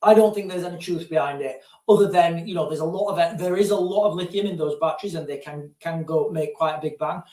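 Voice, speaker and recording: Male streamer, high voice, loose digressive chat, set back from the mic in a roomy space. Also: fast talker, few pauses, warm tone. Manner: digressive, keeps addressing viewers as guys. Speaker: live-stream host answering viewer questions. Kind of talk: casual rambling live-stream chat. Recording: roomy sound, distant from mic.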